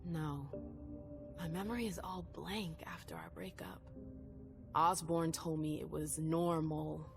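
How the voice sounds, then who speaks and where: A woman's voice: deep voice, fairly even intonation, a little slow, vocal fry. A woman playing a stubborn, wounded female vampire in a video game, speaking in a cool, skeptical, insistent way. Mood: hurt, insistent. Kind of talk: video game cutscene dialogue acting. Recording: game music underneath. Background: background music.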